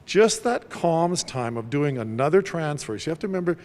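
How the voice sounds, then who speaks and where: A man's voice: on the high side, very animated, fairly quick, a little mellow. Man explaining procedures in an echoing hall. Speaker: emergency dispatch official. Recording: long echoing reverb of a hall.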